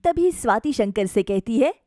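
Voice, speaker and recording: A woman storyteller with a fairly high voice narrates a scripted moral tale for a cartoon. The sound is close and dry, with no room echo. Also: animated, moderate pace, dark tone.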